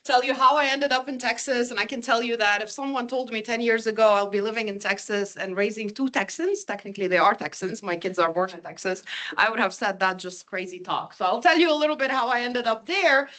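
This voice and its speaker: A high-pitched woman's voice presents to a live audience as a visiting academic. She grew up between Ukraine and Lebanon, speaks Ukrainian and Russian natively and has a foreign accent. Her delivery is informal and chatty, often turning to listeners with questions. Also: brisk, a bit bright.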